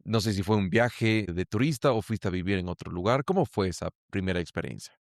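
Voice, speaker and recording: A man's voice: fairly low pitch, animated, brisk, creaky, dry recording, studio quality. A male podcast host who interviews his guest with curious, engaged questions and exclamations. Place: lives in Ecuador.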